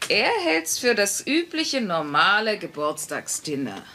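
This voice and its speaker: Casting: nagging, sarcastic middle-aged sitcom wife, volatile and forceful, very animated delivery. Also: female voice, mid-range voice, a little slow, slight background noise, heavily acted. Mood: bossy, exasperated. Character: bossy, volatile working-class wife and mother. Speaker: voice actor in a German sitcom dub. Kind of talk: sitcom dub acting.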